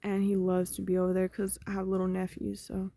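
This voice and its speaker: A young woman vlogging, talking through her thoughts in a slow, meandering, conversational way that often trails off. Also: medium pitch, completely flat delivery, warm tone, no echo, studio quality. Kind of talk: rambling personal monologue.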